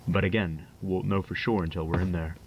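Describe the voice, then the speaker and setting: Actor as a very deep-voiced paranormal investigator, muffled recording. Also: male voice, steady tone, a little fast, background noise. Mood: pondering. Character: calm, methodical male paranormal investigator documenting a haunting. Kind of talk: found-footage horror monologue, spoken as case notes.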